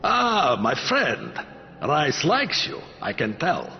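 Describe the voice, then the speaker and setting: Game henchman: wry, measured taskmaster, lightish pitch, sardonic, slightly muffled. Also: wildly swooping pitch, gravelly, faint music behind, full character voice. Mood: smooth, menacing. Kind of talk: cinematic video game acting. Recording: muffled game capture, faint noise.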